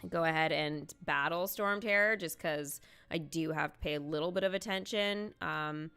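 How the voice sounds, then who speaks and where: A woman's voice: deep voice, normal speed, a bit bright, smooth. A woman chatting casually to viewers as she gives play-by-play commentary on a game, with informal exclamatory asides. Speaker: live game streamer. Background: video game music.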